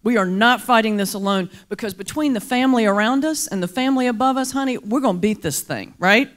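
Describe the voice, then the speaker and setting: A woman with a folksy Southern mountain accent delivers a playful keynote, coaxing responses from the crowd. Her voice sits a little high and bounces with humor. She talks like a pastor who also works comedy clubs. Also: fast. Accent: North Carolina mountains Southern. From North Carolina. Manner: lively, playful, folksy with audience call-and-response. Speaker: pastor and comedian, guest speaker. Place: large banquet hall before a crowd.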